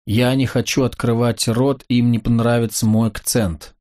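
A man's voice: mid-range voice, little pitch movement, moderate pace, darkish tone, lightly acted. A man reading the lines of a shy, hesitant woman crime writer with an Alabama accent.

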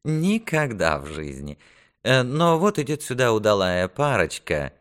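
A sardonic, obsequious physician, put on by a male reader. He talks with mock humility and sly hesitation, slightly raised in pitch, as a storybook character. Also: sing-song, measured pace, a bit bright, clear, resonant tone. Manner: mock-humble, hesitant, chuckling. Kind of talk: audiobook character acting.

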